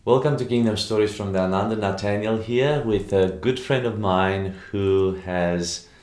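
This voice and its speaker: Deep-voiced male interview host. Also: measured pace, darkish tone, faint music behind. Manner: friendly, prompting questions. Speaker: podcast host. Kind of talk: faith interview. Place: book-lined studio room. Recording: roomy studio sound.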